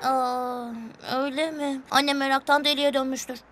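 A woman's voice: high voice, little pitch movement, normal speed, a bit bright. A woman voicing a sweet, concerned young boy in a children's cartoon, earnest and childlike. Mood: pleading, grateful. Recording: music behind the voice.

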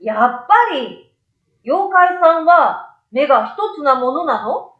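Lady doing a wide-eyed little boy, high n friendly, storybook style, roomy echo. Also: lively intonation, slow pace, phone-quality sound. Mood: curious. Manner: childlike casual speech. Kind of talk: storybook read-aloud.

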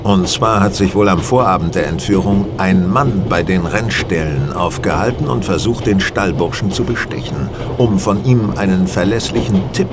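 A man's voice: deep voice, moderate pace, hardly any pauses, lightly acted. An actor playing a shrewd, self-assured male detective, explaining precisely with dry superiority in a radio play. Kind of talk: German radio play dialogue. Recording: loud train and vehicle noise behind.

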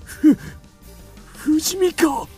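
An actor playing a dutiful, easily alarmed royal chancellor in a radio drama, speaking in a formal, deferential, theatrical way. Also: male voice, extremely high pitch, animated, drawn-out words, darkish tone, soft background music, full character voice. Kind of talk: Japanese radio drama acting.

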